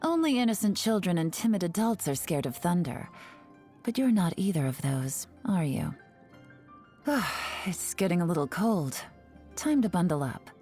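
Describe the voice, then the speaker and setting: An actress playing a cunning, teasing, self-assured female intelligence agent in a video game, with a languid, sly delivery. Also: on the low side. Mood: cool, wry, then drowsy, grumbling. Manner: languid, sly, teasing, with sighs and soft chuckles. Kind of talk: scripted video game character voice lines.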